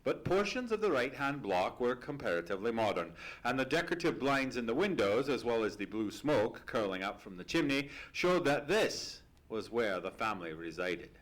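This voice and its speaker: A man playing a genial, loyal gentleman companion who also narrates, polite and literary, in a live radio play. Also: medium pitch, sing-song, normal speed, few pauses, lightly acted, rough recording. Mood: descriptive.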